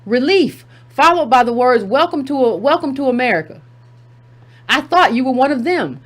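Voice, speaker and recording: Emphatic woman reading aloud, fairly high voice. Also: sing-song, moderate pace. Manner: emphatic, repeats key phrases for effect. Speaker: commentary channel host. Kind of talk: reading a text aloud with running commentary.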